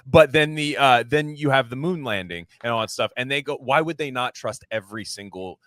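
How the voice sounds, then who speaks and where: A man's voice: medium pitch, brisk, fairly bright tone, dry recording, studio quality. A man hosting a casual podcast, rambling through his opinions and repeating himself for emphasis. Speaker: podcast host.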